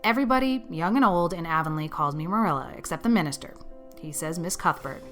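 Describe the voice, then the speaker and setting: A woman voicing a stern, practical older spinster in an audiobook, speaking in a brisk, grim, disapproving and matter-of-fact way. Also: lowish voice, lively intonation, a bit bright, faint music behind, pitched below the performer's own voice. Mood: flatly refusing. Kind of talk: audiobook character acting.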